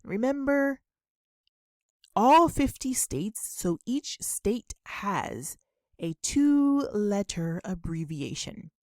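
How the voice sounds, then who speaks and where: A woman teaching a beginner English lesson, deliberately enunciating and repeating model questions and answers in an instructional way. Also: mid-range voice, very animated, no room sound, studio quality.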